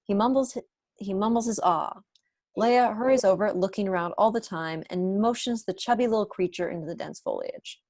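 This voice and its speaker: A woman's voice: medium pitch, normal speed, a little mellow, dry recording, studio quality. A woman narrator reading out stage directions at a scripted table read. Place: indoor room at home, video call.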